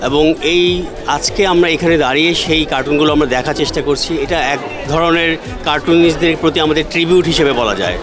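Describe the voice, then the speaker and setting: A man's voice: fairly high pitch, fast. A man with a Bangladeshi accent speaking in an interview as an event organiser. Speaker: exhibition organiser. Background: music.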